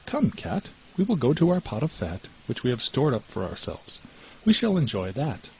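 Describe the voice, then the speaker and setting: A man plays a small, trusting female mouse from a storybook. The voice is raised and leaps around in pitch, earnest and full of wonder. Some noise sits in the recording. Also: wildly swooping pitch, moderate pace, a little mellow, low-quality recording. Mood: eager. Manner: exclaiming, wondering. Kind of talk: storybook character voice.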